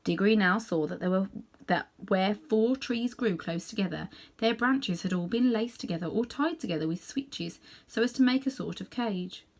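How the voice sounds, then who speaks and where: A woman reading a children's book aloud in an animated, dramatic way, sometimes softening toward hushed tones. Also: medium pitch, normal speed. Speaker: storybook reader. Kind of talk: expressive read-aloud narration for children.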